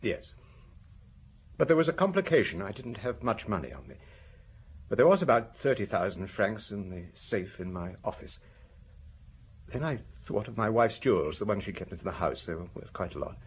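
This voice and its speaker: A vain provincial husband shaken to the core in a radio drama. His voice sits fairly low and comes haltingly, anguished and quick to defend himself. There is audible noise behind him. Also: very animated. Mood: hesitant, troubled. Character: shaken husband, a vain well-dressed provincial, wife found murdered.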